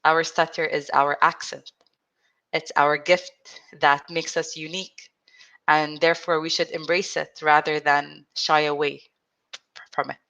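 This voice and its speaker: A woman in her thirties, an interview guest telling her own story in an earnest, reflective way, speaking with a stutter, frequent restarts and pauses. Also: sits low, little pitch movement, sharp tone. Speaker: interview guest, support group founder. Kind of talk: personal storytelling in conversation.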